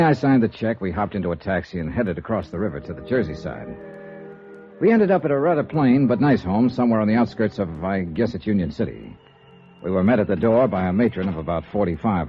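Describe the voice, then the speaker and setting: An American man playing a brisk, wry hard-boiled insurance investigator in 1950s radio noir style, clipped and dry. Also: on the low side. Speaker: lead actor and narrator. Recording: dull old radio recording, faint music.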